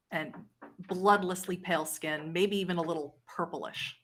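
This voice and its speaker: A woman running a tabletop roleplaying game, narrating in a measured, descriptive manner. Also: fairly low pitch, a bit bright. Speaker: game master.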